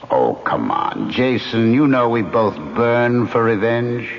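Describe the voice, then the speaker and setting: A man's voice: mid-range voice, lively intonation, slow, slight background noise. An actor playing a sly, coaxing male ghost who haunts a TV set, in a radio drama. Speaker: ghost of a jealous producer. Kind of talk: 1970s radio drama acting. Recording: lo-fi old radio broadcast.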